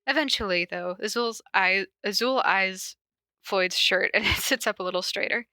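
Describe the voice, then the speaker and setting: Woman, informal podcast reader and host. Bright, forward voice, dry close sound, giggly, slips easily between story and asides. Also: medium pitch, moderate pace, dry recording. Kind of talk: casual cold reading of fan fiction and chat. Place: corner of a basement at home.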